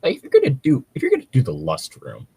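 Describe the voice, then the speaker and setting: Husky man with a very animated delivery. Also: mid-range voice, fast talker, dry recording. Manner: sweary, riffing, breaking into skits. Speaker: co-host of a story-reading show. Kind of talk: casual chat, joking commentary.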